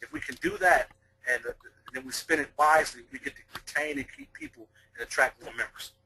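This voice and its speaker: A man's voice: a little high, wildly swooping pitch, brisk, creaky, pushed and bright, breathy edge, poor audio. A man, a deputy fire chief, co-presenting a webinar in a conversational way with frequent fillers and restarts.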